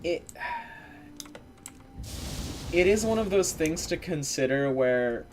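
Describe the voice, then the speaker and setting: A man's voice: fairly high pitch, normal speed, a little hiss or hum. A man streaming a game, chatting casually with viewers and swearing now and then. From Eastern European descent. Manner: relaxed, swearing, chatting to viewers while playing. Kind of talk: casual gameplay commentary.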